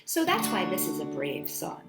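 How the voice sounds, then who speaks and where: A woman's voice: fairly low pitch, huge pitch swings, moderate pace, echoey room, loud music behind the voice. A woman hosting storytime for children, reading and chatting expressively and addressing her young listeners directly. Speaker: children's storytime host and singer. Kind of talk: storytime read-aloud and chat to children.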